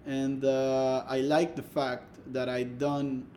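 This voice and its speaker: A man's voice: mid-range voice, flat delivery, mellow. Salvadoran guy, spanish accent, casual interview, lots of restarts, bit of background hiss. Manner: halting, many restarts and "like".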